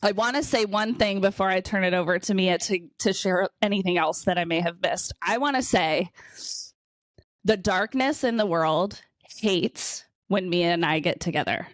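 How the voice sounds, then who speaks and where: A woman hosting a casual podcast over a call, a little sniffly today. She talks candidly and swears freely, telling stories with lots of animation. Her voice sits at a middle pitch, and the sound is very dry. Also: normal speed, no echo. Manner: candid and profane, animated storytelling. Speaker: podcast host. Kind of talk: casual conversational chat. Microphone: remote call.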